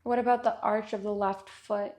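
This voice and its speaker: A woman guiding a body scan meditation with gentle, unhurried instructions and long pauses. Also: medium pitch, fairly even intonation. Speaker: meditation guide.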